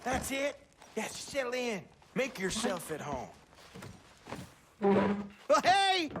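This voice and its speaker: A folksy backwoods farmer with a Southern American accent, for a video game. He is effusive and unsettlingly friendly, stretching words slowly and swooping all over in pitch. There is some light noise behind him. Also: wildly swooping pitch, heavily acted. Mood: jovial, coaxing. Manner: drawn-out, effusive, theatrical, giggly. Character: folksy, overfriendly, creepy backwoods pig farmer man. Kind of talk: video game character acting.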